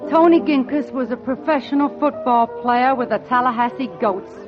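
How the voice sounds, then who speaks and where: A woman's voice: high voice, moderate pace, lightly acted. An actress playing a wry, lovelorn single woman, speaking in a dry, genteel, wistful way in a 1940s radio drama. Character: wry, lovelorn single woman on holiday, narrating her hopes. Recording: muffled 1940s radio recording with music.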